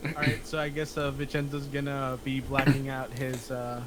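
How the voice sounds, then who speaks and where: A man's voice: medium pitch, monotone, normal speed. Man chatting over noisy voice chat. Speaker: participant in an online script table read. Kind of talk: casual chat. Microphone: online voice chat.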